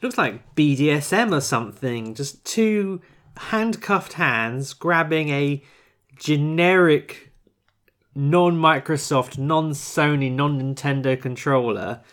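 A man's voice: a little high, takes it slowly, a bit bright. A man co-hosting a podcast in casual chat, with dry, sarcastic humor. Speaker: podcast co-host.

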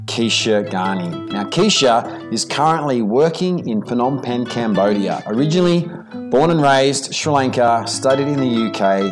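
Effusive male podcast host. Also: mid-range voice. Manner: effusive, piles up adjectives. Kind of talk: upbeat podcast introduction and interview.

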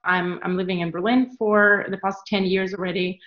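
A woman's voice: mid-range voice, moderate pace, reverberant, muffled recording. A woman in her thirties from Ukraine, answering interview questions casually with plenty of fillers and restarts. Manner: relaxed, frequent fillers and restarts. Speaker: guest, travel photographer.

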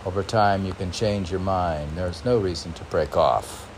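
A man reading poetry and commenting on it informally in a halting, musing, self-questioning manner. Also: deep voice, slight background noise. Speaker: poet reading and commenting on own poems.

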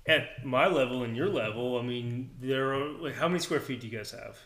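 A man's voice: mid-range voice, a little fast, a bit bright, roomy sound. A man hosting a podcast, chatting casually with frequent laughs and restarts. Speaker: podcast host, gym owner. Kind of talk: casual chat.

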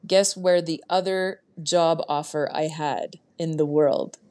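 A woman hosts a casual podcast interview. Her voice sits very low for a woman, and she strings long, eager questions together, chatting freely. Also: fairly even intonation, slow, warm tone. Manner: enthusiastic, asks long, winding questions. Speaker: podcast host.

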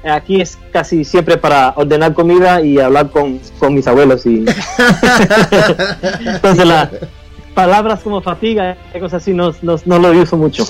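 A man who grew up speaking Spanish at home and now mostly speaks English, chatting as a game designer guest and often pausing to search for words. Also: on the high side, faint music behind, low-quality recording. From United States, Cuban family. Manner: halting, searching for Spanish words, many fillers. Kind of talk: casual interview chat.

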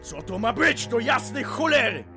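A man's voice: very high-pitched, very animated, normal speed, music in the background, heavily acted. An actor playing a violent, abusive and menacing drunken husband and father in an audio drama. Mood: scornful, irritated. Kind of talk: Polish audio drama acting.

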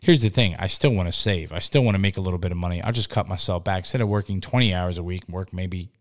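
A tired man in his mid-forties with a very low, deep voice chats loosely about his job. He stumbles over his words, repeats himself and keeps throwing in "bro" as he talks. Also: fast. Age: 40s. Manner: rambling, repeats words, says bro a lot. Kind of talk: casual chat.

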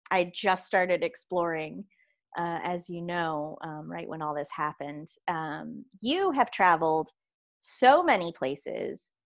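A woman in casual conversation, reflective and chatty, trailing off with fillers. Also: fairly low pitch.

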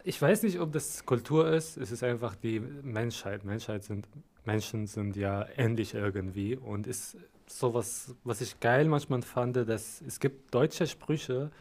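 A man's voice: mid-range voice, a little slow, a little mellow. Friendly man in his twenties. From Syria. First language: Arabic. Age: 20s. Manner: friendly, thoughtful, slightly non-native phrasing. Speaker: interview guest. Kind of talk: casual interview answers.

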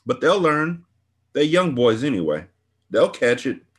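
A man voicing a young woman from the neighborhood who rambles on about a shooting, worked up and excited. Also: mid-range voice, unhurried, husky, voice pushed up.

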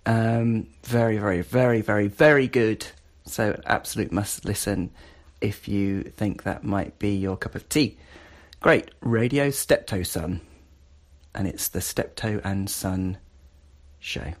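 A man with a fairly low voice presents a casual review as a podcast host. He hesitates now and then and repeats words for emphasis. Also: measured pace.

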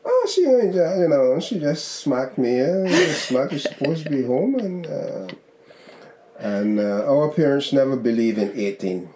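A man's voice: medium pitch, very animated, a little slow. A man in his seventies from Barbados with a Barbadian accent, chatting casually and reminiscing as an interview guest. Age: 70s. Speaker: interview guest, host's father. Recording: roomy echo, background noise.

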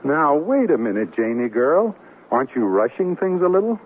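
A man's voice: fairly high pitch, very animated, moderate pace, husky. An actor playing a jealous, folksy married man in an American radio comedy, blustering and sarcastic. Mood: grumbling, sarcastic. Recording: muffled noisy off-air radio recording.

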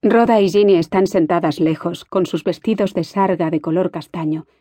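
A woman's voice: on the low side, moderate pace, mellow, dry recording, clean studio sound, lightly acted. A woman reading a homesick, bitter schoolgirl. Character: homesick, resentful schoolgirl Susan. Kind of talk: audiobook character reading.

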